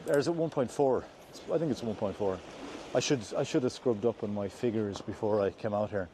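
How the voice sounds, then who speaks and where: An Irish man vlogging outdoors while he walks, giving his views in an earnest, measured way, often restarting his sentences. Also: lowish voice, noisy background. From Ireland. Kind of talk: walking vlog, opinion talk. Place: outdoors on slippery ground near a stream.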